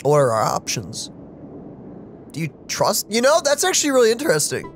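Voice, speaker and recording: A man giving casual, conversational commentary as host of a video game playthrough, in an animated way. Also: sits high, a little fast. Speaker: let's play host. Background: game music.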